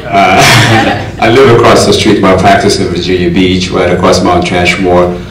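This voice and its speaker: A man's voice: low-pitched, level tone, moderate pace, a crowd in the background. A man from Ethiopia, a health practitioner, introducing himself to a room with a joke or two. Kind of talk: self-introduction to a group. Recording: echoey room, distant mic, faint noise.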